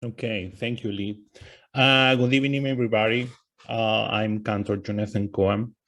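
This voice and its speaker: A man's voice: on the low side, steady tone, normal speed, fairly bright tone. An Argentine man, a native Spanish speaker and cantor, teaching informally over a video call and searching for his words in English with an Argentine Spanish accent. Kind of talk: informal lecture on a video session.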